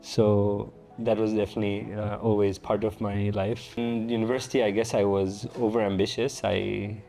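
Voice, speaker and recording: A Tanzanian man, a founder and guest, talking casually in an interview, relaxed and full of fillers and restarts. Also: lowish voice, moderate pace, gravelly, soft background music.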